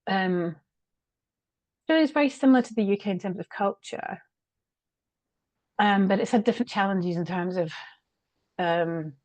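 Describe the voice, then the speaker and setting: A middle-aged woman with a British accent talks through her own stories in a candid, reflective way, often breaking into a laugh. Her voice sits at a middle pitch and is recorded close and dry, with no room sound. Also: moderate pace, pauses often, darkish tone, dry recording. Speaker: guest, change consultant. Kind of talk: candid conversational storytelling.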